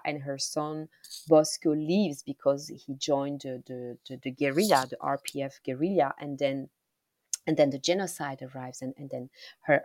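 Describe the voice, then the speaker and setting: Low-voiced woman, halting speech. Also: darkish tone, no echo. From Rwanda. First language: Kinyarwanda. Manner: halting, repeats words as she searches for them. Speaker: author, guest. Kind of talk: interview answers about her novel.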